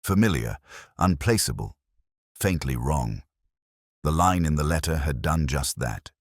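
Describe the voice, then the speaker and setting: Guy playing a thoughtful bookish detective telling his case, real low voice that rises and falls a lot, measured delivery. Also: animated, dry recording, clean studio sound, full character voice. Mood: brooding, reflective. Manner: measured, literary, deliberate phrasing. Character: brooding, erudite middle-aged male English detective, reflective. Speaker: narrator of a detective mystery. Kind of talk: scripted first-person mystery narration, audio drama.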